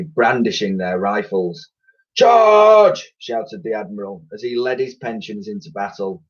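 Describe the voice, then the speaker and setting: A male primary school teacher reading a children's book aloud with animated storytelling. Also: fairly low pitch, husky. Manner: lively, animated storytelling with sound effects. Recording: lo-fi recording.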